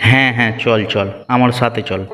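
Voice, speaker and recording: A man's voice: medium pitch, steady tone, fairly quick, sharp tone, faint music behind, lightly acted. A man voicing a kindly, good-natured village fisherman, chatty and inquisitive, in a moral-story cartoon.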